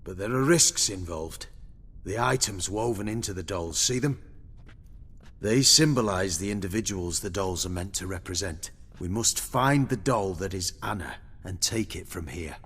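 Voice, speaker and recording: A man playing a grave, pious witch hunter in a video game, speaking formally in a gravelly, husky voice. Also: sits low, lively intonation, normal speed, a bit bright, full character voice. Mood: grave, explanatory. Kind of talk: dramatic video game acting.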